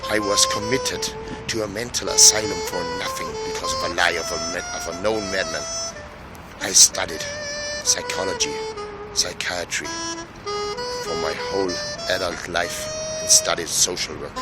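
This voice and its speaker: Low-voiced Austrian man declaiming over music. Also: steady tone, poor audio. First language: German. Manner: solemn, grandiose, halting delivery with emphatic repetition. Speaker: speaker in a self-made video statement. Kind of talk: impassioned declamatory monologue. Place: outdoors on a city square.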